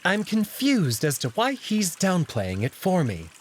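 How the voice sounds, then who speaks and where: A man's voice: sits high, wildly swooping pitch, a little slow, a little hiss or hum, pitched above the performer's own voice, full character voice. A man voicing a sly anthropomorphic jackal noble who talks in a mock-polite, taunting way for a visual novel game. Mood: mock-polite, probing.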